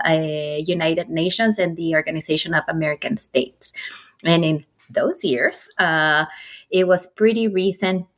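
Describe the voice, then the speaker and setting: A middle-aged woman from Ecuador with a Spanish accent tells her career story casually and animatedly as an interview guest. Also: fairly low pitch. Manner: animated, chuckles often between phrases. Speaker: interview guest, nonprofit marketing executive.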